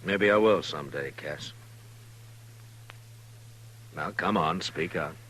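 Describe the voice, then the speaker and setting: An actor playing a stern, steady frontier marshal in a 1950s radio western, terse and dry in his delivery. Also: rumbling low, slow, a little hiss or hum, exaggerated voice. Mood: cool, guarded. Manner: terse, firm, deadpan. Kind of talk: 1950s radio drama acting.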